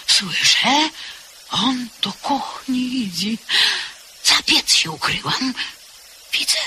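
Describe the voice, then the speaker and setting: A woman's voice: a little high, lively intonation, slow, sharp tone, slight background noise, full character voice. An actress playing a frightened maidservant in a radio drama, telling her story in whispers that break into cries. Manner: breathless, swinging between whispers and cries. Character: frightened maidservant recounting a night of horror. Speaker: house servant. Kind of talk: 1970s-style radio drama acting.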